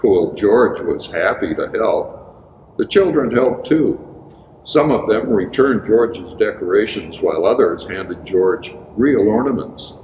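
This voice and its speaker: A man reading a children's storybook aloud, with expressive, emphatic phrasing for young listeners. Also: fairly low pitch, slow pace. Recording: echoey reverberant room with background hiss.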